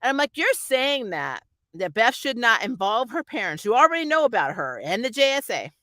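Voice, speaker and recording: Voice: mid-range voice, big pitch swings, brisk, bright, forceful tone, dry recording. A reviewer chatting casually about TV in a rambling, slangy, mildly exasperated and opinionated way. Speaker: YouTube TV series reviewer.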